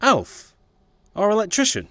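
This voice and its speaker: A man's voice: sits high, wildly swooping pitch, a little slow, sharp tone, no echo, heavily acted. A man acting in an audio drama as a passionate, plain-spoken working-class playwright in 1940s Britain, speaking expressively. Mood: doubtful.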